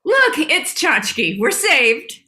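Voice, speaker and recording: A woman's voice: high voice, big pitch swings, takes it slowly, pushed and bright, heavily acted. A woman voicing a bossy, dramatic female pet dog with emphatic, theatrical delivery at a comedy table read. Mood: urgent.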